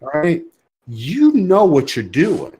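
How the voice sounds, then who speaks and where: A man's voice: fairly high pitch, wildly swooping pitch, slow pace, a crowd in the background. A man talking as a podcast guest, blunt and emphatic, repeating words for effect. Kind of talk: casual podcast chat.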